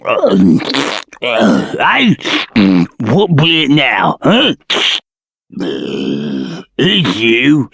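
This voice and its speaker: A big, stupid, greedy troll for a video game. The voice is rough and husky, dragging along very slowly and lurching widely up and down. He talks bluntly, in broken, rustic grammar. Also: mid-range voice, huge pitch swings, fairly bright tone, no echo, exaggerated voice. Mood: puzzled. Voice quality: gravelly, husky. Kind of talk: video game character acting.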